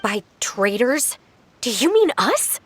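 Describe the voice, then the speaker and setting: A woman's voice: a little high, sing-song, takes it slowly, a bit bright, exaggerated voice. A woman voicing a distraught, defensive youngster in a video game, indignant and stammering. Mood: indignant, frightened. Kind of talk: scripted video game acting. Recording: clear background noise with clicks.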